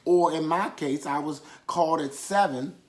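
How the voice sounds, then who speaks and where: A man's voice: a little high, normal speed. A man preaching a sermon, repeating key phrases for emphasis and calling on listeners to affirm after him. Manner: emphatic repetition with call-and-response affirmations.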